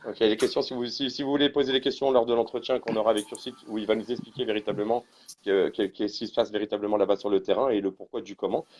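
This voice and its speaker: A man's voice: on the low side, a little mellow. A man hosting a casual live stream interview, rambling with many restarts and fillers.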